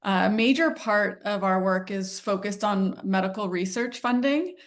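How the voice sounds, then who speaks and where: Woman host, unhurried welcome remarks, mid-pitched, correcting herself now and then. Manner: slow, measured with fillers and self-corrections. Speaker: nonprofit host introducing webinar.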